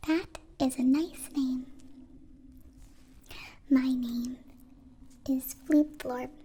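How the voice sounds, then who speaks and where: A woman voicing a gentle, polite alien in an ASMR roleplay, half-whispering in halting, formal phrases. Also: high-pitched, fairly even intonation, breathy edge, voice pushed up, heavily acted. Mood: grateful, polite. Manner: halting, formal, stilted phrasing without contractions. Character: gentle, polite young alien, grateful new friend.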